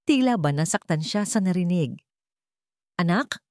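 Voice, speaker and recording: A woman's voice: fairly low pitch, expressive, normal speed, warm tone, no room sound, clean studio sound. A woman reading scripted story narration in a dramatic storytelling style.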